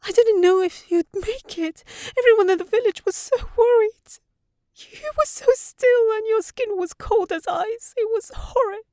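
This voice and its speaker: A woman's voice: very high voice, a little slow, a little mellow, no echo. A woman playing a sensible young housekeeper secretly in love with a wizard, in an intimate, earnest audio roleplay. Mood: relieved, frantic.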